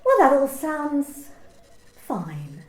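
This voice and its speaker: A woman's voice: high voice, extremely slow, exaggerated voice. A woman playing a cool, probing office boss. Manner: calm, insinuating, repeats questions. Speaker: actor in an amateur radio play. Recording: roomy home recording.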